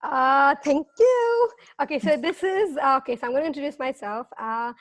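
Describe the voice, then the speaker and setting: A woman from India with a high voice hosts a casual chat, eager and bubbly as she introduces things and asks questions. Her speech is loose, with some fillers. The sound is close and dry. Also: animated, moderate pace, no echo. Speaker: co-host.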